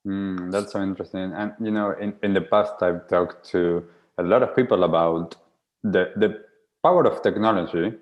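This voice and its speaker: Deep-voiced Argentine-born host, reflective. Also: male voice, steady tone, normal speed, dull audio. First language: Spanish. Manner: reflective, many fillers like "like" and restarts. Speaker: podcast host. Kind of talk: conversational podcast interview.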